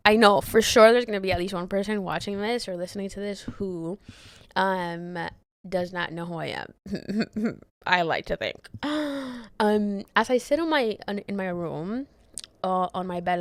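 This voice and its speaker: A woman's voice: fairly low pitch, creaky, a bit bright, dry recording. Candid young woman rambling on her podcast. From Dominican Republic. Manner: candid, swears freely, trails off and restarts, laughs often. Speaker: podcast host. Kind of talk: rambling solo podcast chat. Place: bedroom, sitting on bed. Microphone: handheld mic.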